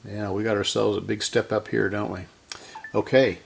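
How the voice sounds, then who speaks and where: A man's voice: fairly low pitch, level tone, moderate pace, vocal fry, background noise. A middle-aged man hosting a casual hands-on repair demonstration, talking to himself unscripted as he works. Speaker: host of a vintage electronics repair channel. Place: indoor electronics workbench.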